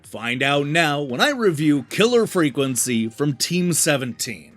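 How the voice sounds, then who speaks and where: A man's voice: a little high, very animated, measured pace, fairly bright tone. A man reading a scripted video game review as narration, with an emphatic, polished presenter's delivery. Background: background music.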